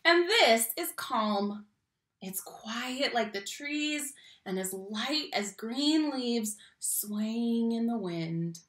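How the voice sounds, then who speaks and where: A young adult woman reads a picture book aloud to young children, expressively, stressing key words and speaking to the listeners directly. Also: a little high. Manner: animated, slow emphatic phrasing on key words, addresses children directly. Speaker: read-aloud teacher and storyteller. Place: small room at home, indoors. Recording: roomy home webcam sound.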